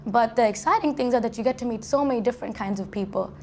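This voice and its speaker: A woman's voice: on the high side, fast, dark tone, slight background noise. A young woman who grew up in Saudi Arabia, answering interview questions in a chatty way, full of restarts and "like" fillers, in a university dorm. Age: young adult. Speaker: college student guest.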